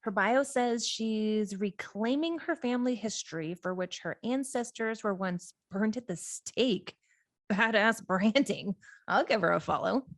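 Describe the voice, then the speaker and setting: A woman's voice: medium pitch, a little slow, dry recording, studio quality, lightly acted. Woman reading an earnest young witch, closely recorded. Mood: appreciative, interested. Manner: conversational, candid. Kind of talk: informal script table read.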